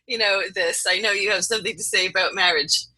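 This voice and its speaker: A woman's voice: mid-range voice, fast, sharp tone, no echo, poor audio. A woman hosting a casual, unscripted discussion. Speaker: host. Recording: noticeable background noise on voice.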